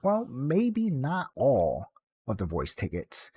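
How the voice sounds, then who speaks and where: A man's voice: mid-range voice, wildly swooping pitch, takes it slowly, mellow, husky. A man narrating a casual tutorial guide in a playful, jokey manner, like a game streamer.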